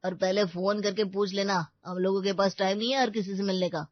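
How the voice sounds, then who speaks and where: A woman's voice: medium pitch, fairly even intonation, a little fast, dry recording, muffled recording, close to an everyday voice. A woman voicing an arrogant, bullying husband in an audio story, curt, domineering and sneering. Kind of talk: Hindi audio story character acting.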